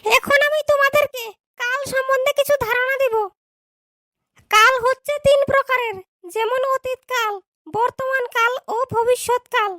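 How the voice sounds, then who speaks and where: A woman's voice: very high-pitched, steady tone, normal speed, bright, forceful tone, no echo, clean studio sound. A woman voicing a strict schoolteacher in a cartoon, scolding and lecturing her class. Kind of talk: cartoon dub acting.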